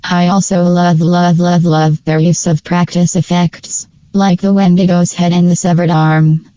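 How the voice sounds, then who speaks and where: Low, even-toned woman reviewer. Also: a little slow, no room sound. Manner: informal, opinionated fan talk with slang. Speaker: video creator and narrator. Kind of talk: casual scripted tier-list review commentary.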